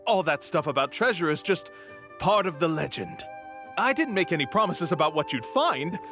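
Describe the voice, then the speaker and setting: A brazen tour-guide swindler from a video game. He talks glibly and theatrically in a fairly high, very animated male voice. He sounds smug, with light music behind him. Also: normal speed. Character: smooth-talking, brazen male con-artist tour guide.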